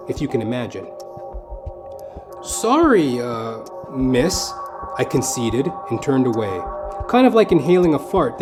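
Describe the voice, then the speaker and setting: A man delivering scripted comic narration for an audio play, with theatrical, mock-literary phrasing. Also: a little high. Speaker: comedian and storyteller. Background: music.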